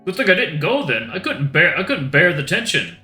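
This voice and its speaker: A man's voice: on the high side, sing-song, fairly quick, bright, forceful tone, voice pushed up, heavily acted. A man voicing a friendly young male camp-goer who talks in a chatty, easygoing way. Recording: roomy echo with faint guitar music.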